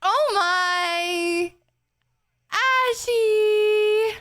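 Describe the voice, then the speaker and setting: A woman's voice: very high voice, drawn-out words. A young woman streaming live, chatting with her viewers in a bubbly, laughing way, full of "bro" and "okay". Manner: excitable, laughy, slangy with lots of "bro" and "okay".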